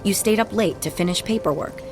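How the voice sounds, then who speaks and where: An actress playing a sharp-tongued, wounded ex-wife in a radio drama, guarded and full of biting, sarcastic retorts. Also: female voice, medium pitch, brisk, music in the background, lightly acted. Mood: grudging, puzzled.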